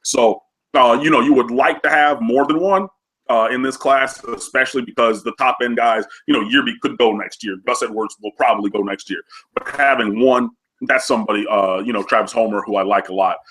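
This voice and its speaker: A man's voice: medium pitch, brisk, fairly bright tone. A man talking about college football recruits, nasal from a cold, chatty with lots of fillers. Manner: chatty, many fillers, enthusiastic. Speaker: recruiting analyst guest. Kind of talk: casual sports recruiting talk.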